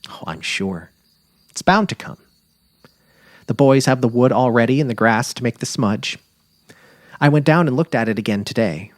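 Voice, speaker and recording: A man's voice: medium pitch, expressive, normal speed, pauses often, no echo, clean studio sound, voice pushed up, lightly acted. A man voicing an affectionate middle-aged wife on safari, eager and tender, in a dramatic literary reading. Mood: assured, affectionate. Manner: cheerful, eager, tender, imploring.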